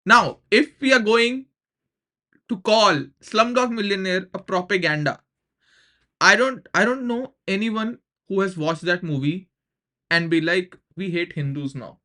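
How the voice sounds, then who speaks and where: A man's voice: high-pitched, unhurried, bright, forceful tone. A young man with an Indian accent giving casual, opinionated reaction commentary, slipping informally between two languages. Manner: opinionated, informal, switching between English and Hindi.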